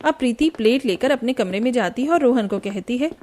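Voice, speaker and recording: A woman's voice: on the high side, lively intonation, a little fast, darkish tone. A woman storyteller reading a scripted narration for a cartoon family tale.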